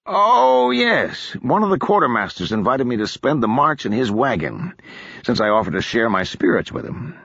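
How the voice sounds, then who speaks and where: A man voicing a drunken, foppish male officer, hungover and carefree, in a husky voice. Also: medium pitch, sing-song, moderate pace, a bit bright, no echo, lo-fi sound, voice pushed up, heavily acted. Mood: dismissive.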